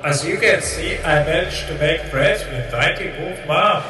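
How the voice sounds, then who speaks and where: Man playing a measured monster coach, very echoey. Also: on the high side, pushed and bright, faint music behind. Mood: measured, proud. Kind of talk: video game character acting. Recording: very echoey, distant from mic.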